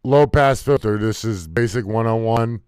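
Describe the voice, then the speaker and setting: A man with a deep voice, talking loosely through a music mixing tutorial with fillers and casual swearing. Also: normal speed, a little mellow, smooth, dry recording. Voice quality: deep voice, muffled by a faulty mic input. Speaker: music producer teaching mixing. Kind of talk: casual screen-recorded tutorial. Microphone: desktop mic into recording software.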